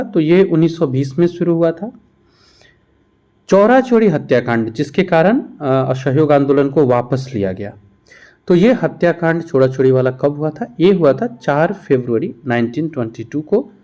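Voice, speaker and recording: A man gives an exam-prep lesson, posing questions and then explaining each answer. His voice sits a little high for a man and has a soft, mellow tone. The recording is somewhat dull and lo-fi. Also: animated, moderate pace. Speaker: teacher, exam-prep instructor. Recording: dull, muffled lo-fi sound.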